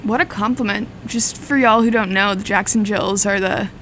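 A woman's voice: medium pitch, moderate pace, slight background noise. Laid-back young woman DJ. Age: young adult. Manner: relaxed, laid-back, with lots of "like". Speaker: college radio co-host and DJ. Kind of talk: casual chat. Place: radio studio. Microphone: studio mic with headphones.

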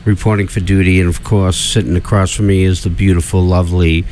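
Giggly Boston radio host. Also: male voice, low-pitched, level tone, fairly quick, a little mellow, a little hiss or hum. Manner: giggly, rambling, self-correcting. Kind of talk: casual radio banter.

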